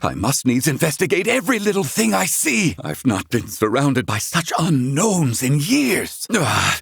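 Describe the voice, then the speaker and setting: An actor playing a proud, curt, bookish scholar-healer in a fantasy video game, speaking in formal, archaic, exacting phrases. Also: male voice, on the high side, big pitch swings, measured pace, vocal fry, fairly bright tone, husky, no echo, studio quality, exaggerated voice. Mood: giddy wonder. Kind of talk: video game character dialogue.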